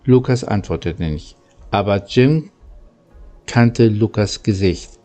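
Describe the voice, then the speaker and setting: A man narrating a children's story from a script, in a halting way that stumbles over words. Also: on the low side, mellow, faint music behind. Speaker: audiobook narrator.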